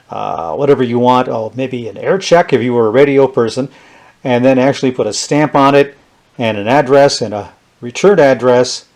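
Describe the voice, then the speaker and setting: A man with a medium-pitched voice talks through a hands-on demonstration in a casual way. He is unscripted and thinks aloud as he tests, like a hobbyist reviewer showing a device. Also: darkish tone. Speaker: vintage electronics reviewer.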